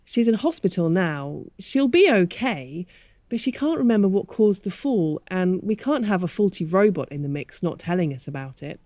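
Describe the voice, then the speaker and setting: A woman's voice: fairly low pitch, very animated, moderate pace, dark tone, no echo, clean studio sound. A woman playing a harried but polite manager of a retirement home in a radio play.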